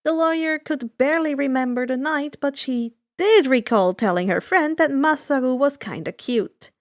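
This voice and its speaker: A woman reading fan fiction aloud as expressive scripted narration. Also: high-pitched, normal speed.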